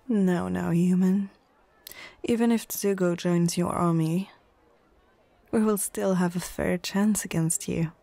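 A woman's voice: on the low side, gravelly, mellow, dry recording, exaggerated voice. A woman playing a proud, menacing orc chieftain in an ASMR fantasy roleplay, imperious and condescending, often dropping toward a whisper. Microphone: close microphone, dry.

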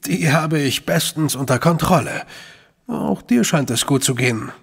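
An actor playing a courteous, guarded middle-aged gentleman official in a German radio play, with a gravelly, husky voice and measured, hemming delivery. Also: on the high side, animated, in a large hall, heavily acted. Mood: courteous, hospitable. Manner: courteous, measured, hesitant hems. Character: suave, guarded gentleman official, Sherlock's brother.

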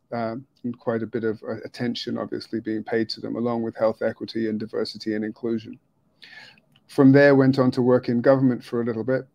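Measured middle-aged man on a video call. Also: medium pitch, darkish tone, dry recording. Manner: measured, many fillers and restarts. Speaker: conference speaker. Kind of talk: virtual conference talk. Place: hotel room, indoors. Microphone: video call webcam.

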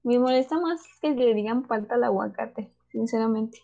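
A young woman with a northern Mexican accent talks on a stream in a candid, slangy, laid-back way. Her voice sits a little high, and the audio sounds slightly dull. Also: normal speed, gravelly, dark tone. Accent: northern Mexican Spanish. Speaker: podcast co-host. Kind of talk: casual chat.

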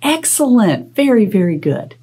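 A woman's voice: mid-range voice, very animated, moderate pace, warm tone, breathy edge. A middle-aged woman hosting a children's storytime as a librarian, instructive and playfully dramatic. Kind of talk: children's storytime talk and read-aloud. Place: small indoor space, plain wall.

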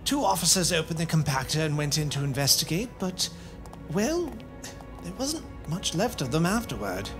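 Man playing troubled overseer, swinging pitch. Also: very animated, normal speed, music in the background. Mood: shaken. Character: troubled, defiant military site overseer. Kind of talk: fan audio drama acting.

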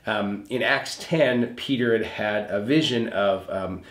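A man's voice: on the low side, unhurried, fairly bright tone. A man speaking as a pastor, explaining scripture in an earnest, conversational recap of a sermon, repeating points for emphasis. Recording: roomy echo.

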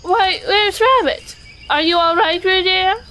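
A woman's voice: very high-pitched, a little slow, pushed and bright, slight background noise, exaggerated voice. A woman playing a doting, politely dismissive mother kangaroo in a children's storybook audio drama. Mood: distracted, motherly.

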